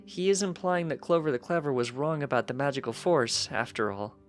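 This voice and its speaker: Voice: mid-range voice, a little fast, soft background music, pitched above the performer's own voice, lightly acted. A narrator voicing a friendly, inquisitive young pony princess, polite, earnest and talkative, in a story reading.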